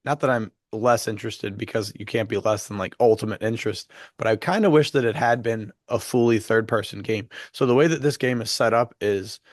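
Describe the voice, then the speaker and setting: A man's voice: lowish voice, brisk, hardly any pauses, no room sound, clean studio sound. A young man co-hosting a podcast in casual chat, enthusiastic and full of fillers, his voice a bit worn from being sick. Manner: chatty, enthusiastic, many fillers. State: losing his voice after illness. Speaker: podcast co-host.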